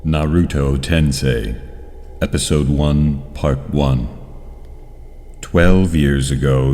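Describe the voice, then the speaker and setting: A very deep, mellow storyteller played by a man narrates a ninja saga gravely and slowly. The voice rings in a long reverb with faint noise behind it. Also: heavily acted. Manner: solemn, measured. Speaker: narrator of an audio drama. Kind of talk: scripted dramatic narration.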